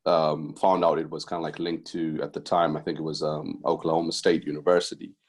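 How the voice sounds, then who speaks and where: A man's voice: a bass voice, flat delivery, fairly quick. A middle-aged man from Zimbabwe, a basketball head coach, telling his story as a podcast guest, with many fillers and restarts. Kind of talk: casual interview chat.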